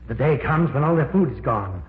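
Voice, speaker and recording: A man playing a calm, theorizing professor in an old radio drama, speaking in a measured, explanatory way. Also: medium pitch, slight background noise, close to an everyday voice. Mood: explaining. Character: older male professor, calm, theorizing, steady. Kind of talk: 1950s radio drama acting. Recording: tinny lo-fi old radio broadcast.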